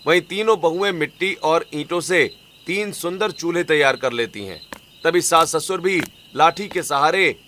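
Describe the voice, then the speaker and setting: A man narrating a scripted cartoon story in an expressive storytelling way, with music behind him. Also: on the high side, moderate pace, slight background noise. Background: background music.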